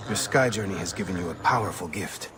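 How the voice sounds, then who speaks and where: An actor as a very deep-voiced solemn warrior. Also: male voice, fast talker, noisy background, natural voice. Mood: grave, focused. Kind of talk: video game cutscene acting.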